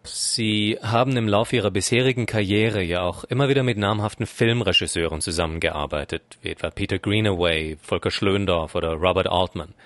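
A male interviewer asks formal, prepared questions in a polite, measured manner. His voice sits fairly low. The sound is dry and close, with no room echo.

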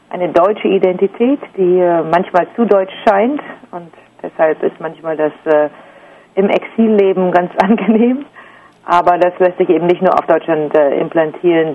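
A German woman in her forties talks slowly and reflectively, often stopping on "äh" and starting over. Her voice has a gravelly, creaky edge. It comes through a dull, muffled line with a faint hiss underneath. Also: fairly low pitch. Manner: hesitant, many fillers and restarts. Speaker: interview guest, artist. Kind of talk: reflective interview answers.

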